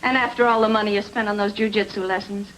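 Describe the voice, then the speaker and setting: A woman's voice: mid-range voice, level tone, fast, fairly bright tone, lightly acted. A woman playing a detective's fretting, wry secretary in a film noir radio drama. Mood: alarmed, wry. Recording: noisy vintage radio recording.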